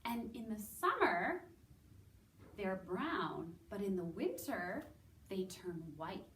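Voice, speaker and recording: Woman, Canadian accent, unhurried children's educator, animated simple phrasing, echoey room, faint background noise. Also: medium pitch. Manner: animated, simple drawn-out wording with guessing questions. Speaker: children's library story time host. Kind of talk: educational presentation for young children. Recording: roomy, distant mic with background noise.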